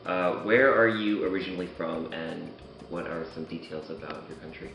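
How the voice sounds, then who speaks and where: A man working through interview questions in a hesitant, halting way. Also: deep voice, flat delivery, gravelly, a bit bright. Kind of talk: informal scripted interview questions. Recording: very echoey room, music behind.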